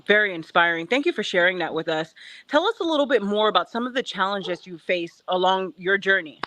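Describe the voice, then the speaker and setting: A woman podcast host speaks at a middling pitch in a dry, close-sounding recording. She moves the interview along with short questions and encouraging remarks. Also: expressive, a little fast, fairly bright tone, no echo.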